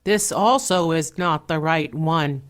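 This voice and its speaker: A woman's voice: sits low, takes it slowly. A woman voicing a resolute young fairy-tale prince who speaks in formal, old-fashioned declarations.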